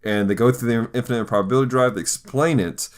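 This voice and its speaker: A man's voice: medium pitch, quick pace. A man hosting a podcast, chatting casually in a jokey, easygoing conversational style. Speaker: podcast host. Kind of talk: casual chat.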